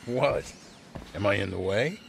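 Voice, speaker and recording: A man playing a gruff, old-fashioned senior lawyer in an English-dubbed crime game. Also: lowish voice, animated, a little slow, slight background noise, exaggerated voice.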